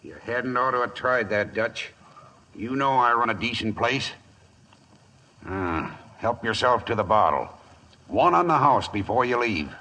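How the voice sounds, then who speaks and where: A trading post keeper in a radio western. His voice is mid-pitched and firm. He talks in plain, folksy words with a world-weary air. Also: animated, a little slow, sharp tone, exaggerated voice, poor audio. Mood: firm, warning. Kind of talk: 1950s radio western acting.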